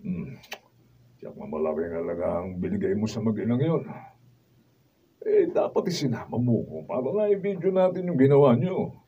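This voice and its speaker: A man's voice: mid-range voice, very animated, moderate pace, close to an everyday voice. A man playing a self-serving, argumentative businessman father in a Filipino radio drama. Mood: grudging. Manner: argumentative, scoffing. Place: drama recording room, behind-the-scenes camera. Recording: muffled, noisy, distant room recording.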